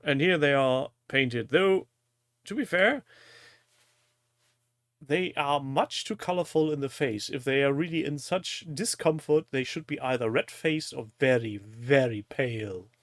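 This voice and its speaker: A man's voice: mid-range voice, a little slow, no room sound, clean studio sound. A man from Germany with a German accent, a native German speaker, hosting a casual live-stream chat in a jokey, teasing manner. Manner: jokey, crude humour, teasing.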